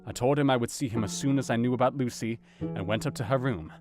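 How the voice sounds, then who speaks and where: An actor playing a grave, conscientious doctor, narrating his own account in a measured, formal, sombre way in an audio drama. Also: mid-range voice, over music, lightly acted. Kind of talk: dramatized first-person narration.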